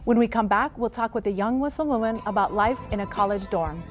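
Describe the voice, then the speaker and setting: A woman hosting an interview, asking polite, measured questions. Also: mid-range voice, music in the background. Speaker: host.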